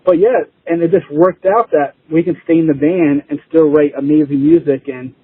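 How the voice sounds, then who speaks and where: A man chatting casually as an interview guest, informal and rambling, with a husky voice. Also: a little high, moderate pace, dry recording. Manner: informal, rambling, chuckling easily. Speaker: interview guest, band musician. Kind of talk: casual chat. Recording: tinny phone-like line.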